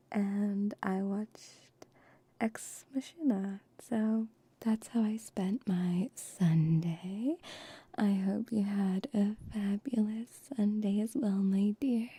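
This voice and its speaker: A woman's voice: medium pitch, breathy edge, no room sound. A young woman whispering close to the mic as an ASMR streamer, chatting with her viewers in an affectionate, teasing way. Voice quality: soft whisper, mostly unvoiced. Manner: affectionate, teasing pet-play talk to viewers; slow, unhurried whispering. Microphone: shotgun microphones close to the mouth.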